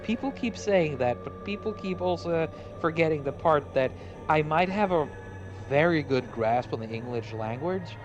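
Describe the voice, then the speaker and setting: A man's voice: on the high side, lively intonation, moderate pace, music in the background. Casual Norwegian man, self-deprecating and sweary. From Norway. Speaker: podcast host and illustrator. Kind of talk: casual chat while drawing.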